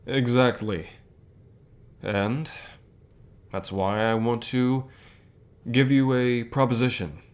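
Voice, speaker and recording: A man playing a calm, encouraging company boss in an ASMR roleplay, speaking in a measured, unhurried way with pauses. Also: mid-range voice. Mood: warm, sincere. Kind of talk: ASMR roleplay, softly acted one-sided dialogue.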